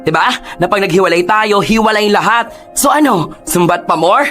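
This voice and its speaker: High male voice, Filipino radio drama, moneyed drinking boyfriend, bitter, guilt-ridden, dramatic delivery, background music. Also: moderate pace, sharp tone. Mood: bitter, scornful. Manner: dramatic, emotionally heavy. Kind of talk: Tagalog radio drama acting. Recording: music behind the voice.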